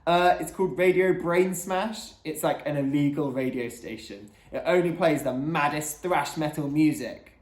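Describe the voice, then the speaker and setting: A reader putting on an excitable, uncouth boy. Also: medium pitch, normal speed, few pauses, roomy sound, close to an everyday voice. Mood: excited. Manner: blunt, slangy, hyperactive. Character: scruffy, excitable, uncouth boy who loves metal.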